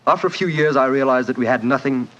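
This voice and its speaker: An actor playing a proud, blunt, touchy wealthy man in a 1940s radio drama, speaking in forceful, clipped phrases. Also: medium pitch, quick pace, a little hiss or hum, natural voice. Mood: candid, terse. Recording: muffled 1940s radio recording.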